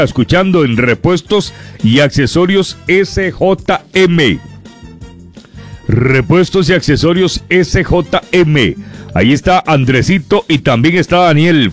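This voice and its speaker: A man hosting a radio comedy show, chatting in a lively way and reading out listener greetings. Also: fairly high pitch, slow, soft background music. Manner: lively and chatty, breaks off and repeats words.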